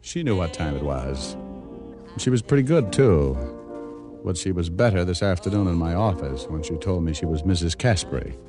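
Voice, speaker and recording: A world-weary private eye from a vintage radio crime drama. His delivery is deadpan and wry, yet the pitch moves a great deal. Music sounds clearly behind him in the old broadcast recording. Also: moderate pace. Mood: wry, cool. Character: wisecracking, world-weary male hard-boiled private detective. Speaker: actor narrating and playing the lead. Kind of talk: old-time radio detective drama acting.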